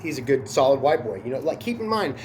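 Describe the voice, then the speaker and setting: A man tells a story in a loose, slangy, conversational way. He has a cold, so his voice sounds a little stuffy and sniffly. His pitch sits in the middle, and there is a faint hiss in the background. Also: very animated, fast, darkish tone. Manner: laid-back slangy delivery. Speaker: host. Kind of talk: casual storytelling.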